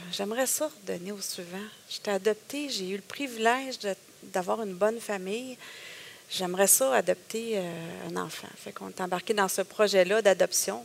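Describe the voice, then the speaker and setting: Gravelly middle-aged Québécois woman. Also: mid-range voice. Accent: Québécois French. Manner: emotional storytelling with hesitations and self-quotes. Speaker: guest. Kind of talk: personal testimony in a conversation.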